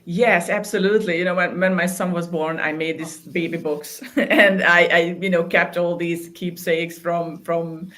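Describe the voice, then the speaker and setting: A woman in her thirties from Hungary whose first language is Hungarian, a guest telling her story in a halting way with many fillers. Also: lowish voice, fairly even intonation. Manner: warm, halting, many "you know" fillers. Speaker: guest, collage artist. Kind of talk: personal storytelling in an interview.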